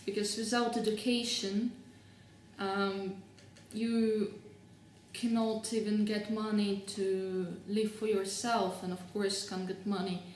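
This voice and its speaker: A woman's voice: medium pitch, little pitch movement, slow, a little mellow, slight background noise. A woman from Russia, answering interview questions hesitantly and searching for words. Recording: echoey room, mic at a distance.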